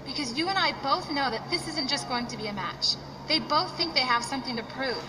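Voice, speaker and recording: A woman's voice: sits high, expressive, brisk. An actress playing an earnest, worried young female wrestler in a video game cutscene. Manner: pleading, earnest. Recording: distant noisy game audio.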